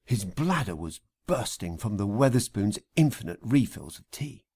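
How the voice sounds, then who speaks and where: A man reading a satirical audiobook story in a theatrical, deliberate manner. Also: on the low side, sing-song, a little mellow, slightly rough. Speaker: author reading an audiobook extract. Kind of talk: scripted satirical audiobook narration.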